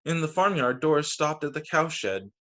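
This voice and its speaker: A man's voice: a little high, animated, normal speed, sharp tone. A man reading picture books aloud to children as a teacher, narrating over a video call. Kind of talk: storytime narration read aloud.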